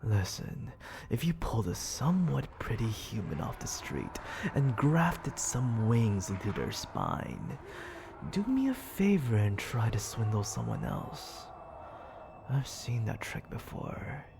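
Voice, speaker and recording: Haughty demon lord for ASMR roleplay, performed by an actor: suave, gravelly, lively intonation, frequent whispering, close-miked with clear background noise. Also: medium pitch. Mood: skeptical, scornful. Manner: slow, theatrical, often dropping into breathy whisper.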